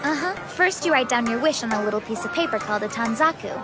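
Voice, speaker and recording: A woman voicing a bossy, brisk young girl who takes charge, in an anime fan dub. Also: fairly high pitch, lively intonation, over loud music, natural voice. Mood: matter-of-fact.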